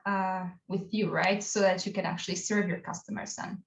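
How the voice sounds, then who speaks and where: A woman's voice: mid-range voice, fairly even intonation, normal speed, a little hiss or hum. A woman in her thirties from Albania, a chatty and upbeat guest answering interview questions over a video call. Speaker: guest, people analytics analyst. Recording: roomy, dull remote audio.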